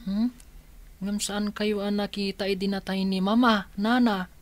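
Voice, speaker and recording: A woman's voice: medium pitch, fairly even intonation, unhurried, no room sound, natural voice. Woman playing a timid orphaned child. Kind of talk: radio drama acting.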